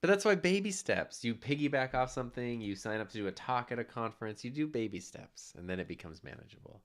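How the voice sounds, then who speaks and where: A man's voice: medium pitch, animated, fast talker. A man co-hosting a casual livestream podcast, speaking in an easygoing way and laughing often. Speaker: co-host.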